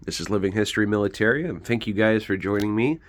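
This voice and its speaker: A man's voice: on the low side, quick pace. A man with a congested, sick voice giving a casual, rambling show-and-tell as host of a collection channel.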